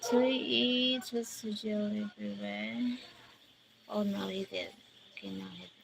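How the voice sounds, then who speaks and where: A woman in her forties from the Philippines, hosting a casual livestream and slowly greeting viewers by name as she answers their comments. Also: mid-range voice, big pitch swings, vocal fry, noisy background. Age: 40s. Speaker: livestream host. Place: countryside outdoors at night.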